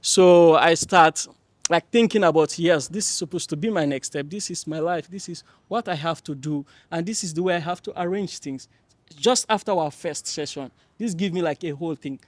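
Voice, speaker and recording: Soft-spoken young man from Mali. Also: fairly high pitch, moderate pace, dry recording. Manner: hesitant, many fillers and restarts, warm laughs. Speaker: panelist. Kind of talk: panel discussion, personal account.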